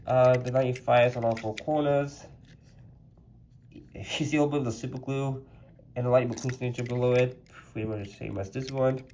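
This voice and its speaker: A man's voice: medium pitch, steady tone, a little fast, mellow, slight background noise. A man reviewing a custom toy car in a casual vlog, rambling and chatty with a slightly congested voice. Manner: rambling and chatty, lots of "like" fillers.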